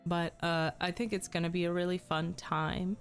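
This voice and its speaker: Young female streamer, casual chat, slightly hoarse from a cold, faint music underneath. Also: lowish voice, level tone, moderate pace. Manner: chatty, reads and answers chat, frequent ums. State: slightly sick, losing her voice.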